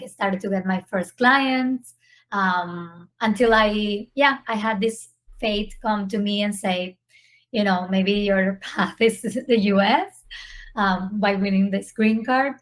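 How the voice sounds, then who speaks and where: A woman's voice: medium pitch, steady tone, slow. Woman in her 30s from Venezuela, podcast guest, earnest storyteller. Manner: warm, earnest, with small slips in phrasing. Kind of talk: casual interview storytelling.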